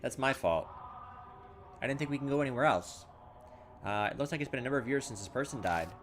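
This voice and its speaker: A man's voice: mid-range voice, expressive, fast, fairly bright tone, a little hiss or hum. A man playing a horror game and reading its text aloud, thinking aloud and making fun of his own mistakes. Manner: self-mocking, thinks aloud, loses his way. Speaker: let's play gamer.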